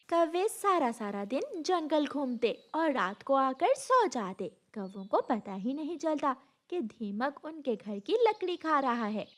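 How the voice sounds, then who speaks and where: High-pitched animated woman storyteller. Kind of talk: scripted children's cartoon narration.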